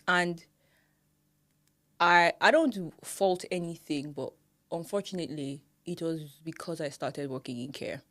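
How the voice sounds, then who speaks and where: Nigerian woman, lively podcast chat. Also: low-pitched, measured pace, no room sound. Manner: animated, laughs often, quotes people in put-on voices. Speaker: podcast co-host. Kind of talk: casual chat.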